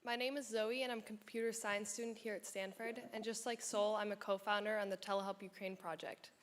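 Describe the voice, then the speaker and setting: A woman's voice: mid-range voice, flat delivery, brisk. A young woman from Germany, a university student, politely asks a prepared question. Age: young adult.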